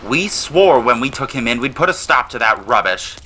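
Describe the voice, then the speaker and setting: A man voicing a stuffy, domineering middle-aged uncle in an audio drama, angry and forcefully indignant. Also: medium pitch, lively intonation, moderate pace, a little hiss or hum, pitched above the performer's own voice.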